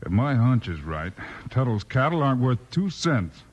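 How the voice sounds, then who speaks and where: A man's voice: mid-range voice, sing-song, normal speed, dull audio, close to an everyday voice. An actor playing a brave, decisive frontier lawman with a commanding manner in a 1950s radio western. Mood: focused. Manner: firm, commanding. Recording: noisy old 1950s radio recording.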